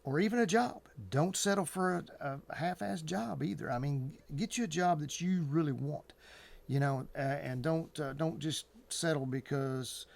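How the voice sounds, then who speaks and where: A man talking casually and earnestly to viewers as an advice host, in a halting, repetitive, colloquial way. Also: fairly high pitch, vocal fry.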